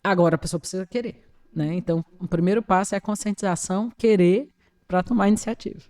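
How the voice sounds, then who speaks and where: A woman speaks as an occupational therapist on an interview. She explains earnestly and with emphasis, in a middle-pitched voice. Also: fast talker, a little mellow.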